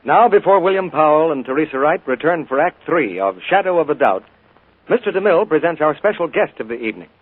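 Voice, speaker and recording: A man making a crisp, formal network announcement on a radio broadcast. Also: a little high, expressive, fast, faint music behind. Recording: tinny 1940s radio broadcast.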